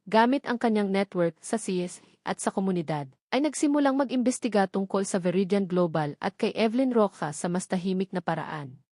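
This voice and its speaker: A woman's voice: medium pitch, darkish tone, no room sound, studio quality. A woman with a Filipino accent reading scripted story narration as the narrator of an audio drama.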